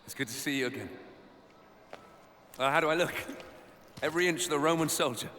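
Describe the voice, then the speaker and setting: An actor playing an eager, dutiful young Roman soldier son in a video game, earnest and respectful. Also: male voice, on the high side, fairly quick, pushed and bright. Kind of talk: dramatic video game acting. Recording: long echo, background noise.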